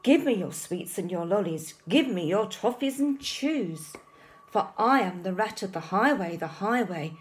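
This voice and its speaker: A woman voicing a greedy, bullying male rat highway robber from a storybook, loud in his demands and boastful. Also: expressive, a little slow, lightly acted, poor audio. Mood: bullying, demanding.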